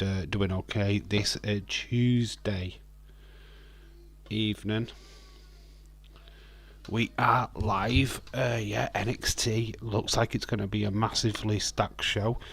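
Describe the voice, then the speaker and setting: A man with a slightly croaky voice hosting a live stream, chatting in a rambling, friendly way with lots of hesitant fillers. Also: lowish voice, flat delivery, slow, a bit bright. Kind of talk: casual live watch-along chat, reading viewer comments.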